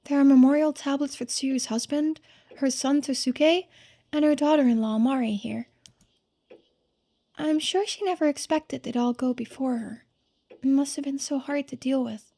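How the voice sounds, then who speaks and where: A woman voicing a polite, thoughtful young game character who muses aloud in a soft-spoken, reflective way. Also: sits high, moderate pace, pitched above the performer's own voice. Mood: quiet, reflective. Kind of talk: voiced game dialogue and narration.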